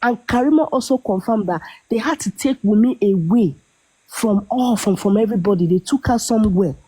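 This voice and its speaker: A woman's voice: medium pitch, brisk, mellow, breathy edge, low-quality recording. A woman hosting a casual chat, prompting her guest with questions. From not Yoruba. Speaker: host.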